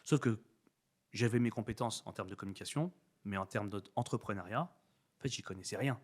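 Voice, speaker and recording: A man's voice: lowish voice, normal speed. A man from France speaking as a podcast guest in a conversational interview, emphatic and repeating phrases for effect.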